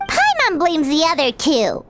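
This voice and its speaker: A woman voicing a tiny, excitable, childlike fairy companion in a video game, squeaky and exclamatory, loyal and nosy. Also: measured pace, exaggerated voice. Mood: worried, eager. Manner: speaks of herself in third person, exclamatory. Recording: music behind the voice.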